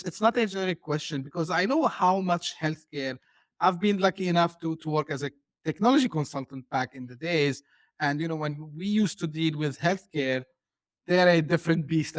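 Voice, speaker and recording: A man's voice: fairly high pitch, dry recording. A man hosting an interview podcast, asking long, rambling questions over a video call. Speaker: podcast host.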